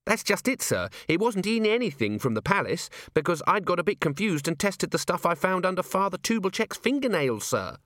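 A man's voice: high voice, big pitch swings, brisk, hardly any pauses, a bit bright, dry recording, studio quality, pitched above the performer's own voice. A man reading an audiobook, voicing a young earnest female dwarf recruit who speaks eagerly and nervously.

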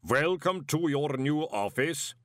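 A man voicing a huge male clay golem who speaks slowly in a booming, unshakably calm way. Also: no echo, clean studio sound. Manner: slow, booming, infuriatingly calm.

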